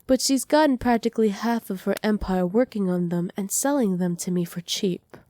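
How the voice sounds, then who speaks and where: A woman voicing a doting, possessive evil witch in an intimate ASMR roleplay, speaking softly and teasingly, often slipping into breathy whispers. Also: medium pitch, normal speed, no echo, natural voice. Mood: smug, satisfied. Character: doting, possessive evil witch pampering her captive pet. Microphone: close microphone, dry.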